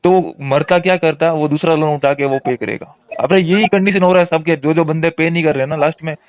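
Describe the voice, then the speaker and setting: A man with a somewhat high-pitched voice argues on a recorded phone call. He sounds like a borrower pleading his case, insistently repeating his points in a heated exchange. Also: fairly even intonation, brisk, no room sound. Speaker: borrower on a debt collection call.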